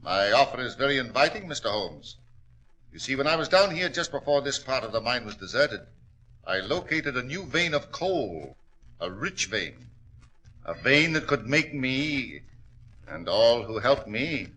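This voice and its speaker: Bright-voiced, cold scheming villain. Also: male voice, mid-range voice, big pitch swings, unhurried, poor audio. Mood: smug, calculating. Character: cold, scheming male miner turned murderous villain. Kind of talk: 1940s radio acting. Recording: vintage 1940s radio recording.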